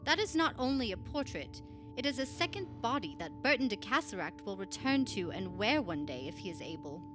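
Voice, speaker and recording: A woman acting in a radio play as a grave, knowing churchwoman, telling of dark things in a measured, dramatic way. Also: mid-range voice, sing-song, music in the background, lightly acted. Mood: solemn. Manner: measured, solemn, dramatic storytelling.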